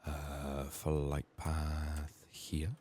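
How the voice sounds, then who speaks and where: A soft-spoken man giving ASMR video game commentary, often slipping into whispers, his voice strained from being sick. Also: extremely low pitch, monotone, very slow, slightly rough.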